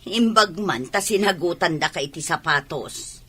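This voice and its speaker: A woman playing a skeptical, nagging parent with a husky voice in an Ilocano radio drama. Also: fairly low pitch, expressive, normal speed, sharp tone, heavily acted.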